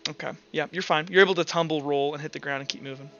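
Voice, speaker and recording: A man's voice: fairly high pitch, steady tone, rapid-fire, bright, forceful tone, dull audio. A man talking casually as game master, running a tabletop roleplaying game.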